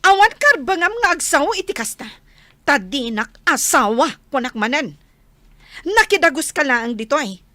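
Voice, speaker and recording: Brash, high-pitched flirty Filipina. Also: female voice, sing-song, moderate pace, bright, forceful tone, no room sound, full character voice. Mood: defiant, mocking. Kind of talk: radio drama acting.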